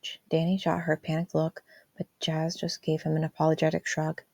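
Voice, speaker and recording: Lowish-voiced woman narrating a story. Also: completely flat delivery, a little fast, creaky, darkish tone, dry recording. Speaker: amateur audiobook reader. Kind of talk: fan fiction story narration.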